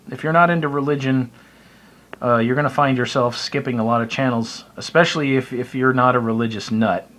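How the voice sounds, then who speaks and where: A man's voice: mid-range voice, a bit bright. Blunt middle-aged American man, casual monologue. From United States. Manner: blunt, wry, exclamatory. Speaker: hobbyist video blogger. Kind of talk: casual opinionated monologue to camera. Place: small indoor room by a window. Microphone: phone or webcam close to the face.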